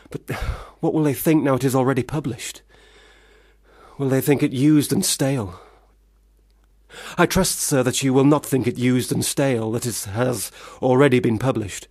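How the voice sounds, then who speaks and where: An actor playing a troubled, self-doubting young man who drinks, theatrical, swinging between loud protest and muttering in a radio play. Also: mid-range voice, no room sound, clean studio sound, close to an everyday voice.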